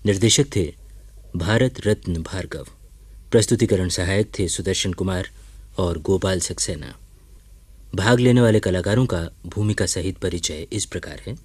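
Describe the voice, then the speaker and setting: A man reading scripted closing credits as a radio announcer, formal and measured, in a studio. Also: low-pitched, darkish tone, breathy edge, no echo.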